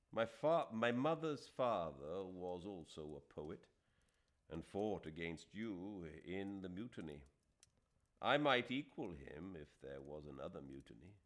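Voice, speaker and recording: A proud, wounded Indian doctor from a classic novel, as acted out by a male narrator. He speaks in a low voice with formal, careful phrasing. His temper is melancholy and dignified. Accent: British. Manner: quiet, formal, literary dialogue.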